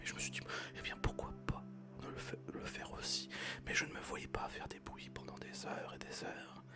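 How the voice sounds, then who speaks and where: Soft French whisperer reading aloud. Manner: soft, slow, soothing whisper. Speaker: ASMR streamer. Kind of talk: whispered ASMR reading of a novel.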